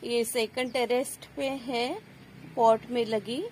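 A woman's voice: on the high side, fairly even intonation, takes it slowly, darkish tone, slight background noise. A woman vlogging outdoors on her terrace garden, filming with a phone and showing viewers her harvest in a casual, friendly walkthrough. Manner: addresses viewers as friends, points things out. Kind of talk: casual show-and-tell walkthrough. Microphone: phone camera held while filming.

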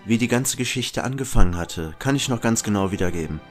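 A man's voice: on the low side, lively intonation, fairly quick, faint music behind, lightly acted. A man playing a sinister, insolent killer who both talks and narrates dramatically in an audio drama. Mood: darkly narrating. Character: sinister young male killer, smooth-talking, insolent narrator-protagonist. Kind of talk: audio drama dialogue and first-person narration.

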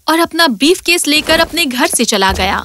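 A woman's voice: sits high, lively intonation, sharp tone. A woman narrating a scripted children's fairy tale as a storyteller. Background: music.

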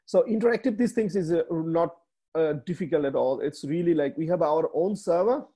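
A man in his thirties from Bangladesh, a native Bengali speaker with a Bangladeshi accent, giving a slide presentation on a video call, halting with many fillers and restarts. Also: fairly high pitch, mellow, slightly rough. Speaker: webinar speaker. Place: small room indoors.

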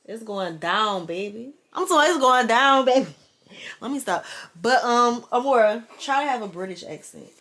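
A woman's voice: on the high side, animated, normal speed, a bit bright. A young woman with an Atlanta accent, chatting casually and playfully to her vlog camera and her daughter at home. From born in New Orleans, raised in Atlanta. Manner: playful, laughing, talks to viewers and her daughter. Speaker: vlogger mom. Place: bedroom at home. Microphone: handheld camera close up.

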